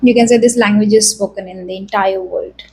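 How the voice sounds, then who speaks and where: A woman, a tutor guiding a speaking exercise in a clear, instructive way. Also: mid-range voice, steady tone, brisk, a little mellow. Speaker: English tutor.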